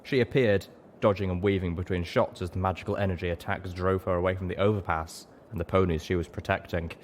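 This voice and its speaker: A man's voice: sits low, steady tone, fast talker, slight background noise. A man reading a story aloud as scripted, dramatic narration. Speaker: audiobook narrator.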